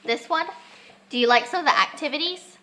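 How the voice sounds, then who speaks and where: Congested young mom vlogging a home lesson. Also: female voice, high voice, a little fast, sharp tone, poor audio. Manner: chatty, with many ums and restarts. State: congested, losing her voice. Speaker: homeschooling mom. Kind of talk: casual home vlog lesson. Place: family home with children.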